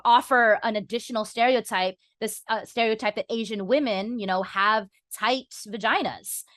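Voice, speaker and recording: Earnest, higher-voiced woman, closely recorded. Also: moderate pace, fairly bright tone, no room sound, clean studio sound. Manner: articulate, earnest, frequent "you know". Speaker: podcast guest, educator. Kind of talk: conversational explanation, opinion.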